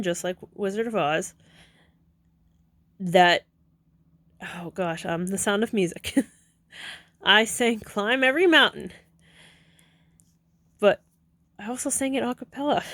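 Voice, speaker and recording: A woman's voice: mid-range voice, expressive, measured pace, long pauses, fairly bright tone, no echo. Chatty woman in her thirties, dry sound. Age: 30s. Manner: chatty, digressive, corrects herself, laughs often. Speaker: vlogger. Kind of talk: casual rambling vlog talk. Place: at home.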